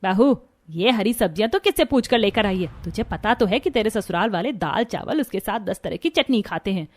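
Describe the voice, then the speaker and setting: A woman's voice: medium pitch, lively intonation, fairly quick, natural voice. A woman voicing a stern, demanding middle-aged mother-in-law in a family story, with a commanding, scolding manner. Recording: music behind the voice.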